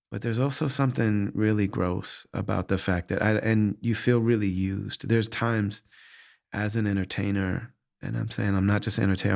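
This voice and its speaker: A man's voice: on the low side, vocal fry. A middle-aged man hosting a podcast, talking candidly and earnestly about hard moments, often restarting his thoughts as he searches for words. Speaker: podcast host answering listener questions. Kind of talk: candid reflective monologue.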